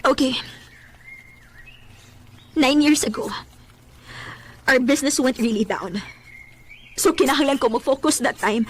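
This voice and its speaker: A woman's voice: high-pitched, natural voice. An actress with a Cebuano Filipino accent playing a proud, wounded single mother, pleading and emotional, slipping into English in a radio drama. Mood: confessing, hurt. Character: proud, wounded single mother who hid her child's father. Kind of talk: Bisaya radio drama acting.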